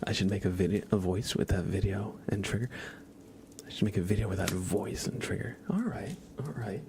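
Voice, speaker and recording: A man softly whispering in an unhurried way as an ASMR streamer chatting to his viewers. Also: fairly low pitch, background noise. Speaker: ASMR live streamer, host.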